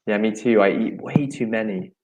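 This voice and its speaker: A man's voice: fairly low pitch, a little mellow. A man co-hosting a casual online English lesson, chatty and encouraging toward viewers. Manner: chatty, encouraging, quick to agree. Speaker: English teacher co-host.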